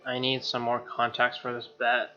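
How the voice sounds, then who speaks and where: Casting: young male gamer, bright forceful voice, slangy and exasperated, narrating a playthrough on a muffled mic. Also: mid-range voice, monotone, moderate pace. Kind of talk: casual commentary and read-aloud narration. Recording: dull lo-fi mic, music underneath. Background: game music.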